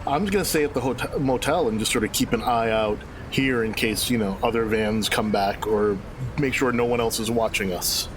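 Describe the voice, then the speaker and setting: A man's voice: medium pitch, a little fast, background noise. Laid-back man player.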